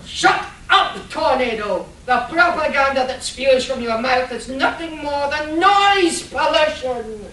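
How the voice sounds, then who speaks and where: Across a roomy space comes a woman acting a smug supervillain. She is high-voiced and unhurried, mocking and gloating through a comedy radio play. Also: expressive, a bit bright, exaggerated voice. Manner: deliberate, mocking. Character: scornful, gloating female supervillain in a laboratory. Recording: roomy, distant live convention recording with noise.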